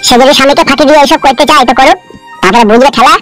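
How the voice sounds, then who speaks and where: A man's voice: extremely high pitch, rapid-fire, fairly bright tone, soft background music. A man voicing a timid, naive, protesting married simpleton who frightens easily, in a comedy cartoon. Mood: resigned. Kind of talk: amateur cartoon comedy dubbing.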